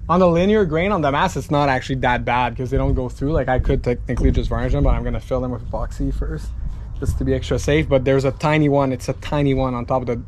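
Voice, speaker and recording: A man's voice: medium pitch, steady tone, fast talker, darkish tone, slight background noise. A Canadian man in his thirties with a laid-back, slangy, sweary way of talking, chatting casually to camera as a sailing vlogger. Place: outdoors in a boat yard among hauled-out boats.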